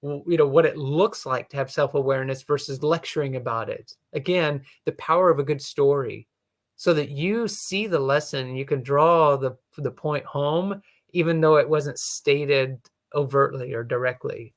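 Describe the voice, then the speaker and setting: A man explaining leadership lessons in a casual, thoughtful way, often pausing with "you know". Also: a little high.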